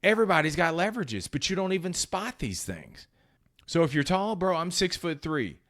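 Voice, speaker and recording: A man's voice: fairly high pitch, very animated, normal speed, a bit bright. A man hosting a motivational sales podcast, talking bluntly and emphatically in short, punchy phrases, with some profanity. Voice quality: powerful voice. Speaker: podcast host and sales coach. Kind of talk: motivational solo talk.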